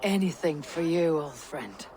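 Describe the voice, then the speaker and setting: A woman's voice: deep voice, expressive, a little slow, noisy background. An actress playing a hardened, loyal Viking warrior woman in a video game, terse, wry and understated. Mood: warm, firm. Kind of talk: dramatic video game cutscene acting.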